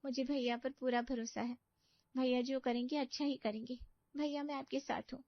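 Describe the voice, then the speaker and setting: Woman voicing a high, plaintive young cartoon woman. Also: fairly even intonation, normal speed, no echo. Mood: trusting, soft. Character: wretched, tearful rejected young woman, meek and trusting. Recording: muffled dry studio recording.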